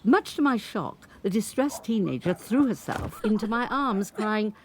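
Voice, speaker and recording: An actress playing an 85-year-old grandmother from Birmingham, who looks back on her life in a measured, unhurried monologue. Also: medium pitch, expressive, slight background noise. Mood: reflective. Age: older. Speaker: narrator. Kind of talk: scripted dramatic monologue.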